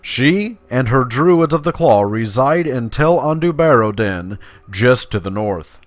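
A man's voice: mid-range voice, expressive, unhurried, no room sound. A man giving casual, playful gameplay commentary in a chatty, slangy way, sometimes reading quest text aloud. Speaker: video game player and commentator.

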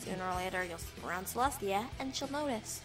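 A woman's voice: mid-range voice, animated, fast talker, over music, natural voice, poor audio. A woman playing a defeated, loyal young pony heroine in a fan audio drama, speaking with earnest, dramatic feeling. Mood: defiant.

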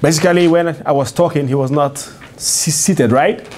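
A middle-aged man speaking as an event host, introducing a speaker in formal, measured, emphatic phrases in an indoor room. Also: on the high side, a little mellow, a little hiss or hum. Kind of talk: public address, introduction.

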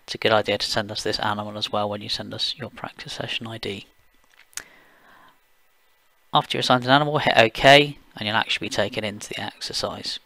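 A man from the UK talking through a software screencast step by step, plain and explanatory, with occasional fillers. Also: on the low side, steady tone, fairly quick, creaky, no room sound. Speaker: software engineer giving a tutorial. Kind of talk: screencast walkthrough voice-over.

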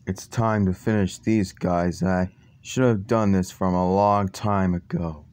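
A man voicing a determined young hero in an amateur action story, with halting, dramatic phrasing. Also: low voice, fairly even intonation, darkish tone, clear, resonant tone. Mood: stern, defiant. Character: determined young male hero vowing to fight evil. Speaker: amateur voice actor. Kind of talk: hobby voice lines for a fan zombie action story.

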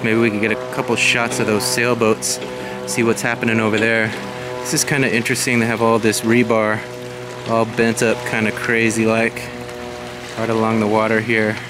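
A man in his forties narrating a casual walking vlog outdoors. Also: medium pitch. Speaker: vlogger. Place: outdoors along a waterfront. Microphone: handheld camera microphone. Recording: windy outdoor camera recording with loud noise. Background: loud wind and outdoor ambience.

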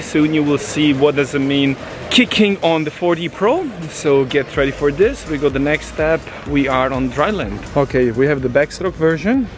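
A middle-aged man, coaching swimmers outdoors with teasing, upbeat commentary. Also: on the high side. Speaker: swim coach. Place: outdoor training area by a pool. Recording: outdoor with music behind.

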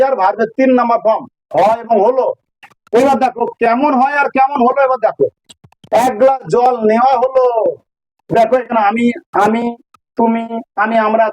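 A man's voice: very high voice, darkish tone, no echo, rough recording. A man with an electronically altered voice, explaining grammar step by step as a teacher, repeating key phrases. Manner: didactic, repeats key phrases and checks understanding. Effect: voice changer. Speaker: English teacher.

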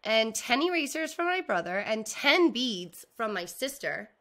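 Storybook schoolgirl, read by a woman: eager, earnest, careful lister, raised pitch, bright tone. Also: very animated, unhurried, exaggerated voice. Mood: earnest, hopeful. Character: anxious, eager little schoolgirl showing her collection.

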